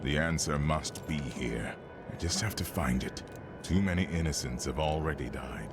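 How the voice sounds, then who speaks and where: A man's voice: very deep voice, normal speed, loud music behind the voice. A man playing a grave, resolute sage in a video game, speaking solemnly. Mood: grave, urgent. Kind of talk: video game cutscene acting.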